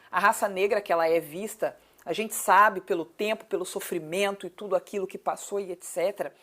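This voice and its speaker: A woman of thirty-eight with a southern Brazilian accent, answering conversationally as an interview guest, emphatic, repeating points and asking rhetorical questions. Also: medium pitch. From Paraná, southern Brazil. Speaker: city council candidate, interview guest.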